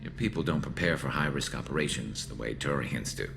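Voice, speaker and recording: A man playing a battle-hardened, dry-witted alien soldier in a video game, with a gravelly, metallic voice and measured delivery. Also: rumbling low, fairly bright tone, a little hiss or hum, exaggerated voice. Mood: dryly wry. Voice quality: gravelly, resonant metallic rasp.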